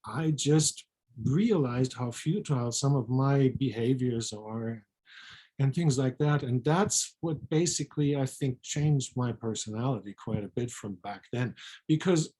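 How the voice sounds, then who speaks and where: A middle-aged speaker with a German accent talks in a frank, reflective way. The voice is distinctly husky and rough-edged, in an informal conversational style. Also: mid-range voice, unhurried, warm tone. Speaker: physician and co-author, guest. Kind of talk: casual chat.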